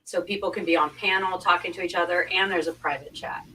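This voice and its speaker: Bright-voiced woman, a little off the mic. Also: fairly low pitch, steady tone, fast talker. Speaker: livestream panel guest. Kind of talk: casual livestream chat. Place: online group video call. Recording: distant mic with faint background noise.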